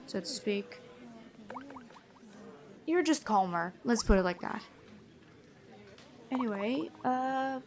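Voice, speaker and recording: A woman playing a gentle but stern, bookish female prison guard in a fantasy ASMR roleplay, chatty and inquisitive. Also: mid-range voice, slight background noise, close to an everyday voice. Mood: frank, compassionate, then chatty, wistful. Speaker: ASMR voice actor.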